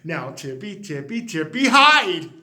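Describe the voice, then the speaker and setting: A man reading a children's picture book aloud, expressive and dropping to a hush on the refrains. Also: a little high, measured pace. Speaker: storyteller.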